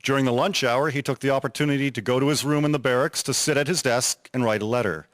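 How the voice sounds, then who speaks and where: A man's voice: mid-range voice, steady tone, fast, dry recording, studio quality. A man reading scripted historical narration in a formal documentary style.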